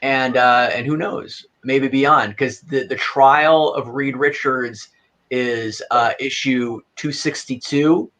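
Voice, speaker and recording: A man's voice: mid-range voice, slow. Easygoing man guest on a podcast. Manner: relaxed, easygoing, laughs often. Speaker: guest, fan film creator. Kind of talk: casual interview chat.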